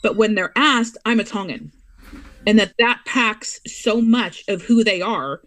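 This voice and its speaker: Earnest American mom in dry close audio. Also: female voice, mid-range voice, fairly bright tone, no room sound. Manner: earnest, emphatic, speeds up and slows down to stress points. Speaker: guest. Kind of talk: casual interview chat.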